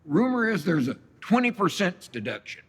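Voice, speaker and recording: A man playing an older dermatologist with a husky voice and an earnest, eager way of asking. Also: sits high, sing-song, moderate pace, a bit bright, big-room sound, full character voice. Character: nervous older male dermatologist seeking tax tricks.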